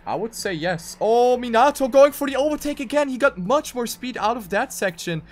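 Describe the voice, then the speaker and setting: A man's voice: very high voice, lively intonation, moderate pace, soft background music. A man commentating live on a racing game, calling the action play by play and repeating phrases for emphasis. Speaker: esports race commentator.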